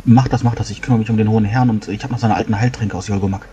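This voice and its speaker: Man as a fast, low-voiced adventurer. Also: steady tone, warm tone, lightly acted. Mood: brisk. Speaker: player. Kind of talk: in-character tabletop roleplay. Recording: music behind the voice.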